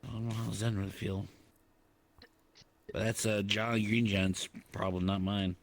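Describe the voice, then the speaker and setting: A man chatting in improvised roleplay, speaking in a halting, conversational way. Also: fairly low pitch, little pitch movement. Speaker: roleplay participant. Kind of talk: improvised in-character roleplay chat. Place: online voice chat in a virtual world.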